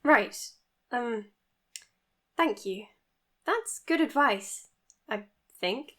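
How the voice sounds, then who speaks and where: A self-doubting young doctor in an audio drama. Her voice is high, and she speaks earnestly and hesitantly, with real care. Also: animated, very slow, fairly bright tone, exaggerated voice. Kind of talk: naturalistic audio drama acting.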